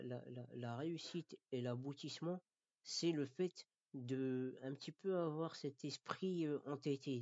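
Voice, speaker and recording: Halting male teacher, slightly dull audio. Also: mid-range voice, darkish tone, no echo. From France. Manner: halting, repeats words and phrases; didactic, checks understanding. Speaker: Quran recitation teacher. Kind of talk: informal spoken lesson addressed to learners.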